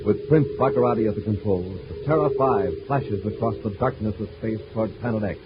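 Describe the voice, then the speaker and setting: A man's voice: on the low side, a little fast, loud music behind the voice, natural voice. A man narrating a vintage space adventure radio serial in a dramatic announcer style. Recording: muffled, very noisy old radio recording.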